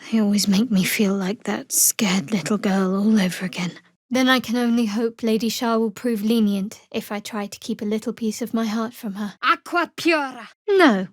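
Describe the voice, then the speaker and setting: Actress doing young dark cleric lady for a fantasy game, kinda high voice, guarded n dryly sarcastic, no room sound at all. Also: no echo, close to an everyday voice. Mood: solemn, earnest, then urgent, forceful. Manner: dry, guarded, measured delivery. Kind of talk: fantasy video game voice acting.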